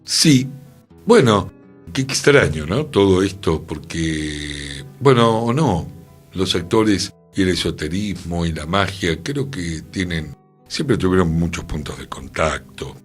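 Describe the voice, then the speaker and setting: A man's voice: deep voice, lively intonation, takes it slowly. A man with an Argentine accent hosting a radio talk on culture in a musing, conversational manner. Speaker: radio host. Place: radio studio. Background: music.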